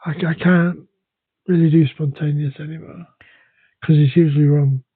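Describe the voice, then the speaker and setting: Halting bilingual man, husky phone-like voice. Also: on the high side, fairly even intonation, no echo. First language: English and Welsh bilingual. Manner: hesitant, halting, many restarts. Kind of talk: casual podcast conversation. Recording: dry, phone-like narrow sound.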